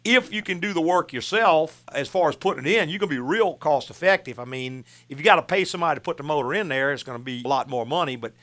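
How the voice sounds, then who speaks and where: A man's voice: on the high side, quick pace, hardly any pauses, a bit bright. A man, hosting a call-in car advice show in a folksy, plain-spoken way. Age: 60s. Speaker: radio show host and auto repair shop owner.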